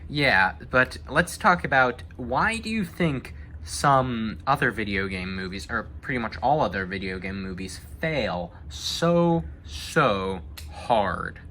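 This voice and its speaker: A man hosting a casual film discussion, talking in a halting, conversational way. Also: medium pitch, lively intonation, bright, forceful tone, a little hiss or hum. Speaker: host.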